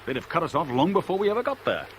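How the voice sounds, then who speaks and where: An actor playing a tense, clipped, commanding escape organiser in a 1970s radio thriller. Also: male voice, on the high side, big pitch swings. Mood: impatient. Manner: brisk, insistent questioning. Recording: noisy old radio drama recording.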